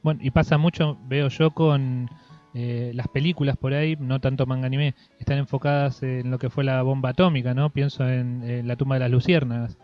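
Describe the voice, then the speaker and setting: A man with an Argentine Spanish accent hosting a casual interview, phrasing his thoughts in a halting, reflective way. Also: mid-range voice, fairly even intonation. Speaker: interviewer, host. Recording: dull lo-fi audio, music behind.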